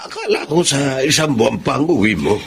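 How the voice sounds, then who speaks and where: A man's voice: medium pitch, very animated, fairly quick, gravelly, a little hiss or hum. An actor playing a defensive, sheepish middle-aged gambling father in a radio-style drama, with a Filipino accent. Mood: contrite, pleading.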